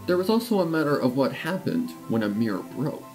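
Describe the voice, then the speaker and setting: A man narrating a scripted fan fiction story in a playful, expressive storytelling way. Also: on the high side, a little fast, poor audio. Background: music.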